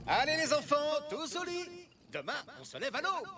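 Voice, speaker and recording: High, swooping, eager cartoon dad. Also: male voice, huge pitch swings, moderate pace, over loud music, exaggerated voice. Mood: chivvying. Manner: eager, chivvying. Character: Tom, eager fatherly adult man guiding children outdoors. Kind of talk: animated series voice acting.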